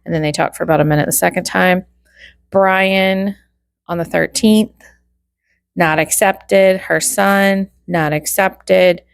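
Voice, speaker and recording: A woman with a fairly low voice full of vocal fry gives informal true crime commentary. She works methodically through a log, one entry after another, slipping in wry remarks. The sound is dry, with very little room around it. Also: fairly even intonation, takes it slowly, no room sound. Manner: methodical, item-by-item recital with wry asides.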